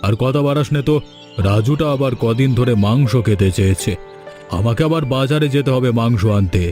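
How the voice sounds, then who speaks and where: A man's voice: medium pitch, fairly quick, a little mellow, natural voice. A man voicing a brusque, suspicious, stingy village master in a fairy tale cartoon, scolding and bossy. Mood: brusque, impatient. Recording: music behind the voice.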